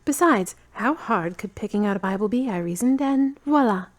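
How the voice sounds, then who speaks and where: A woman's voice: mid-range voice, expressive, moderate pace, close to an everyday voice. A woman playing a kind, skeptical, teasing friend in an amateur audio drama, candid and wry.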